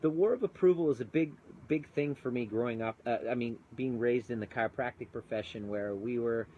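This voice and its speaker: A man's voice: mid-range voice, a little fast, dark tone, highs cut off, slight background noise. A man, a chiropractor turned coach, talking earnestly and chattily on a live phone video outdoors with mountains in view. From Canada. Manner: earnest, chatty, greets viewers mid-thought. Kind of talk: live-streamed casual talk.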